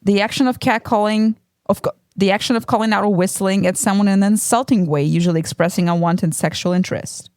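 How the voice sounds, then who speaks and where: A woman's voice: mid-range voice, fast, hardly any pauses, a little mellow, dry recording, clean studio sound. A woman from Russia with a Russian accent, co-hosting a casual book podcast and talking hesitantly, with many ums and restarts. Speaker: podcast co-host. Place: home room with a cat.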